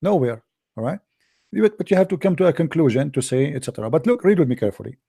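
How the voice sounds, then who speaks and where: Man, Arabic accent, rapid insistent debater, mellow dark tone, dry sound. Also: fairly high pitch, big pitch swings, creaky, slightly rough, dry recording. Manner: insistent, interrupts, repeats himself. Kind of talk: heated debate.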